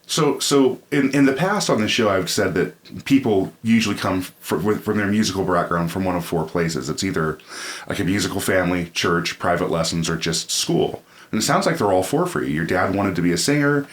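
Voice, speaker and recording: Brief: male podcast host, very deep voice, casual and affirming, summarizes the guest's points. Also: lively intonation, brisk. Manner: friendly, affirming, talks in long summarizing turns. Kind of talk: casual interview chat.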